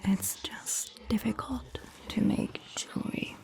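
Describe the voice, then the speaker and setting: A woman voicing a calm, attentive vampire doctor in a gentle, breathy, mostly whispered ASMR roleplay, speaking in a measured way. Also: medium pitch. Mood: calm, musing. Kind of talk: soft-spoken ASMR roleplay.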